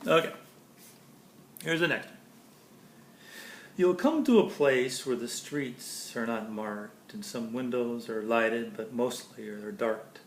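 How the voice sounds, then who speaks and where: A man reading a children's picture book aloud expressively, with pauses between phrases and chatty explanations to listeners. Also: mid-range voice, a little hiss or hum. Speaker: storybook reader. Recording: echoey room.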